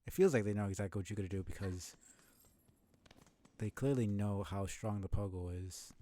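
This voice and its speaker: A man's voice: lowish voice, moderate pace, warm tone. A man streaming a video game, thinking aloud in casual, rambling, analytical live commentary.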